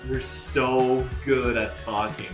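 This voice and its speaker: A loud, animated man telling a story in a casual group chat. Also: mid-range voice, takes it slowly, roomy sound, music in the background. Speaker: streamer.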